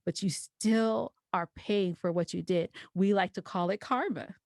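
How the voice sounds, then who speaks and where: A woman speaks in a reflective podcast conversation with a mid-range voice. She explains things deliberately, building each point in short phrases. The sound is dry and free of room echo. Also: mid-range voice, creaky. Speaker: podcast guest.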